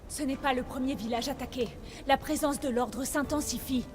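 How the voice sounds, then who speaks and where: A woman's voice: high-pitched, steady tone, moderate pace, darkish tone, a little hiss or hum, natural voice. A woman acting a defiant, idealistic young Persian daughter and fighter in a French-dubbed video game, earnest and challenging. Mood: urgent.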